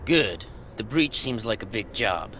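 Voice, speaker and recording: Suspicious security guard, lowish voice, curt, threatening. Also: male voice, lively intonation, unhurried, fairly bright tone, a little hiss or hum.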